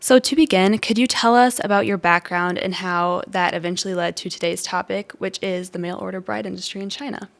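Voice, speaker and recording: A woman's voice: medium pitch, fairly even intonation, a little fast, gravelly, hardly any pauses. A woman speaking as a podcast host, introducing a guest and asking questions.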